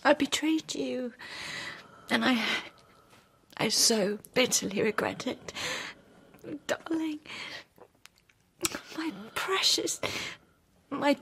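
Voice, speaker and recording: An actress playing a remorseful young wife in a radio drama, halting and pleading with emotion. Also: female voice, high voice, expressive, fairly bright tone, a little hiss or hum, full character voice. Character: remorseful young wife begging her dying husband's forgiveness.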